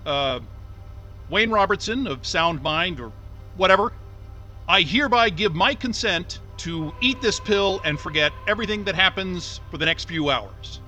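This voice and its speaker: A man's voice: high-pitched, lively intonation, normal speed, pushed and bright, faint music behind. An actor playing a chatty, flippant man rambling casually through a recorded video message to himself in an audio drama. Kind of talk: naturalistic audio drama acting.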